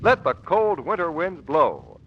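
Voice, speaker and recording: A man's voice: a little high, big pitch swings, unhurried, husky. A man announcing in the style of a 1930s radio advertisement. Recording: muffled old radio recording, faint noise.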